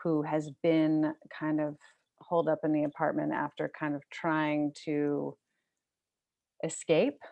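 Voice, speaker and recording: A woman with a low, creaky voice reads literary fiction. She is the author of the book, presenting her own prose at a literary event. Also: monotone, unhurried, lo-fi sound. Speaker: debut novelist reading from own book.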